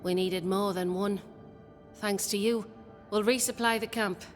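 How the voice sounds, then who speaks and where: An actress playing a proud, devoted wife who leads settlers in a video game, speaking formally and solemnly. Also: female voice, mid-range voice, steady tone, a little slow, faint music behind, close to an everyday voice. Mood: devout, resolute. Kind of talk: video game cutscene acting. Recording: long hall-like reverb.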